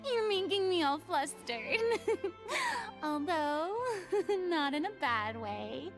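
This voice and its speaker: High swoopy dramatic young diva, slow and showy, game music behind. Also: female voice, full character voice. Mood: flustered. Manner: theatrical, excitable, drawn-out delivery. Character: theatrical flamboyant young woman, dramatic and self-assured. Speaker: game character. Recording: game cutscene audio with music.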